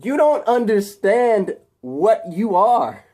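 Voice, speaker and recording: A man's voice: high voice, very animated, slow, warm tone, pitched above the performer's own voice, exaggerated voice. A man voicing an unhinged young rich heir turned villain, speaking intensely in emphatic, ranting phrases. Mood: manic, grandiose.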